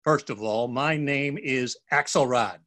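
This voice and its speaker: A man's voice: mid-range voice, measured pace, natural voice. A man voicing a tiny, bossy male ant with a huffy, testy manner in a children's storybook. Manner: shouting, huffy.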